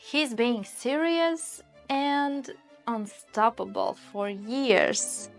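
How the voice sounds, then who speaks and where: A woman's voice: fairly high pitch, very slow, creaky. A woman narrating and interviewing in a friendly storytelling manner. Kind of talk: documentary narration. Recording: faint music underneath.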